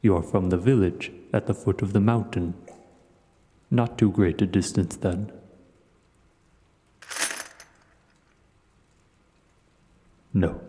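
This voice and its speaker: A man plays a vast, age-old male dragon for an audio roleplay. The voice is very deep and dark, rolling through long cavern reverb. He speaks slowly and deliberately, a philosophical host who keeps a lofty distance. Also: natural voice. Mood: calm, wry. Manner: slow, deliberate, musing. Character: ancient male dragon, huge, aloof yet hospitable, philosophical.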